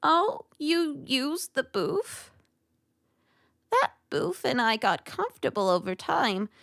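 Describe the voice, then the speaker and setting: A woman's voice: high-pitched, big pitch swings, slow, gravelly, a bit bright, no room sound, clean studio sound, exaggerated voice. A woman voicing a timid, insecure, sweet mask creature in a cartoon dub, with a plaintive, fragile manner. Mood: shy, sweet. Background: music.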